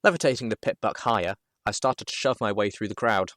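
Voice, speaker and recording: A man with a fairly low voice reads a story aloud in the first person. His delivery is expressive and dramatic, and the recording is close and dry, with very little room sound. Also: fast, no room sound. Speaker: audiobook reader, show host.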